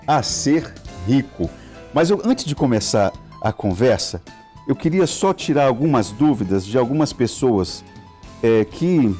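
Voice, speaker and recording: Hesitant male TV host, mellow voice. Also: mid-range voice. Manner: hesitant, many fillers and restarts. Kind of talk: live TV interview. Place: TV studio.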